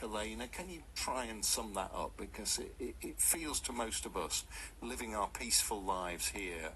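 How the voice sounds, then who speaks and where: A man's voice: lowish voice, bright, forceful tone, a little hiss or hum, rough recording. A man presenting a live radio show, interviewing guests conversationally in a studio. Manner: conversational, leading questions.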